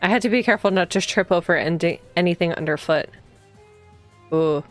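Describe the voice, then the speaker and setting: A woman with a slightly low voice streams a story game. She moves between reading the text aloud and casual commentary, thinking out loud as she goes. Soft music plays behind her voice. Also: a little fast. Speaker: streamer playing a visual novel. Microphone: streaming microphone.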